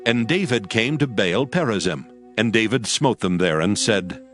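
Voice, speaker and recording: A man narrating a dramatized scripture audiobook in a solemn, measured storytelling manner. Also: fairly low pitch, expressive, fairly bright tone, faint music behind.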